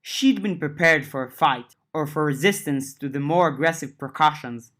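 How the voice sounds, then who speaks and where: A woman with a notably low voice reads a chapter of a serial story aloud. She narrates steadily and raises her pitch when characters speak. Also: moderate pace. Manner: reads dialogue with lifted pitch, narration lower and softer. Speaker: audiobook narrator. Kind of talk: audiobook reading of a web serial.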